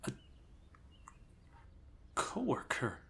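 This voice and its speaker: A young man speaking softly and hesitantly in an improvised ASMR roleplay, often dropping to a whisper. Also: extremely slow.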